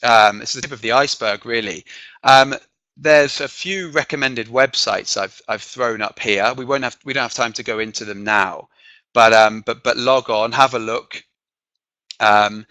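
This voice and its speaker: An English man from the south whose accent carries some northern touches, presenting an online teaching session over a computer microphone. His tone is bright and forward, and the sound is dry and close. He keeps checking in with a quick "okay?" and fills his pauses with um. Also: mid-range voice, dry recording, rough recording. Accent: mixed southern and northern English. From south of England. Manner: frequent "okay?" check-ins and filler ums. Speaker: webinar presenter, teacher trainer. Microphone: computer microphone on a video call.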